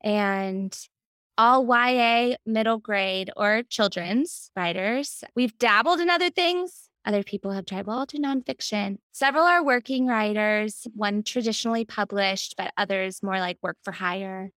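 A woman's voice: mid-range voice, a little slow, fairly bright tone, smooth, no room sound. Woman guest in a dry, close recording. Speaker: podcast guest, book editor. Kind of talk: casual interview conversation.

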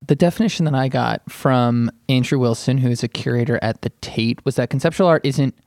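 A man's voice: medium pitch, darkish tone, dry recording, clean studio sound. A man in a casual discussion, explaining as he thinks aloud, often hedging and correcting himself. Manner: thinking aloud, halting and self-correcting, with many "kind of" hedges. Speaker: podcast panelist and arts writer.

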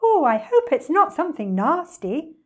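Woman voicing a high, singsong innocent bird. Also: big pitch swings, moderate pace. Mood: cheerful, innocent. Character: sweet, innocent, cheerful roly-poly bird. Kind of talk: dramatic children's storybook reading.

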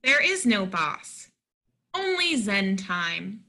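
A woman playing a bossy, calm computerized smart-home door in a play reading, with a deliberate, commanding delivery. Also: medium pitch, full character voice.

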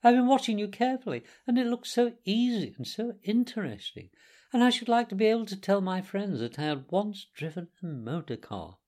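A man's voice: sits high, very animated, fairly quick, darkish tone, pitched above the performer's own voice. A man voicing a toad who poses as a humble washerwoman in a storybook, polite, meek and coaxing. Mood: polite pleading. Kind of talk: storybook character voice in audiobook reading.

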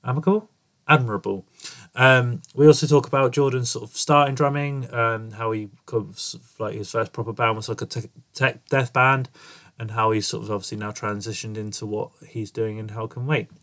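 A young man talks into a podcast mic, his voice stuffy and nasal from a cold. He rambles casually, swearing in passing, at a mid pitch. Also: fairly even intonation, brisk, a bit bright. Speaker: podcast host. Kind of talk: casual podcast intro monologue.

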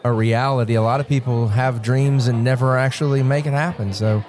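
A man's voice: mid-range voice, steady tone, brisk, crowd noise. A man hosting interviews, encouraging his guests, often stumbling over and restarting his questions. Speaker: interviewer host. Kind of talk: casual interview.

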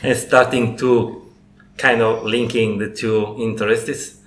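A Sicilian man with an Italian accent, speaking English in slow, searching phrases as a hobby photographer. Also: fairly low pitch, little pitch movement, slight background noise. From Sicily, Italy. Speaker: chemical engineer, hobby photographer guest. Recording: roomy with faint ambience.

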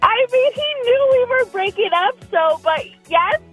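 A young woman with a distinctly high voice calls in to a radio show. She tells her own story in casual, everyday speech, with faint music behind her. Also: lively intonation, unhurried, narrow, tinny audio.

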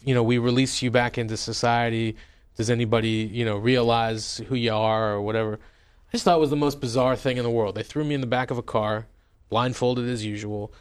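A man's voice: medium pitch, clean tone. A man, a journalist and author, recounting his experiences in a wry, conversational way with many fillers and restarts. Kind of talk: interview, personal storytelling.

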